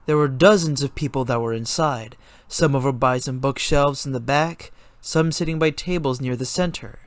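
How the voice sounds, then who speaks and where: A man narrates a written story as a dramatic reading. His pitch sits in the middle range for a man. The recording is dry, with very little room sound. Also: normal speed, no echo.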